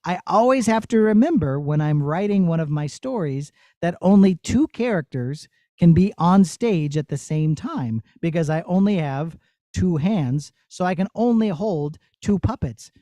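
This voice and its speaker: Puppeteer guy explaining stuff to kids, mellow voice, encouraging, close dry sound. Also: a little high, lively intonation, measured pace, no room sound. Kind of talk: answering children's questions.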